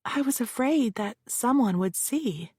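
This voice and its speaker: A woman's voice: fairly high pitch, lively intonation, a little slow, a little mellow, husky, no room sound, voice pushed up. A defensive young woman on the witness stand, as a narrator voices her, drawling in a Southern California accent and keeping her answers short. The voice sits close and dry, with no room sound.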